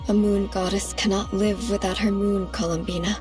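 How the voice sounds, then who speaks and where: A woman playing a dignified ancient moon goddess in a game cutscene, speaking in a measured, formal way. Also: medium pitch, level tone, lightly acted. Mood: resolute. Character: solemn, composed ancient moon goddess, elder sister. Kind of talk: dramatic game cutscene acting. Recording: background music under the voice.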